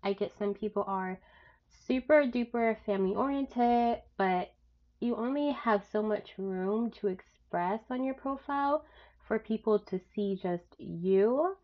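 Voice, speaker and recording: A young woman with a stuffed-up nose from a cold, giving blunt dating advice in a frank, animated way, with sudden loud rises and some swearing. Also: medium pitch, unhurried, muffled recording. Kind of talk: casual advice monologue.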